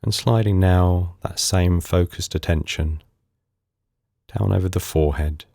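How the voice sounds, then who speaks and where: Super deep male voice doing guided meditation, slow soothing phrases w pauses, really dry sound. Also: vocal fry, no room sound. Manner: calm, unhurried, soothing instruction in short phrases with pauses. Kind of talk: guided body scan meditation.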